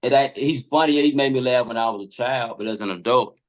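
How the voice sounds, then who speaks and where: A man's voice: mid-range voice, brisk. A man chatting casually as a podcast guest, often checking that listeners follow. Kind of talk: casual chat.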